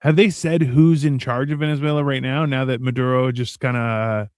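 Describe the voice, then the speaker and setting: A man's voice: mid-range voice, steady tone, normal speed, clean tone, no room sound, clean studio sound. An American man co-hosting a casual show, rambling with sarcastic jokes and frequent restarts. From United States. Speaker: podcast co-host. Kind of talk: casual banter.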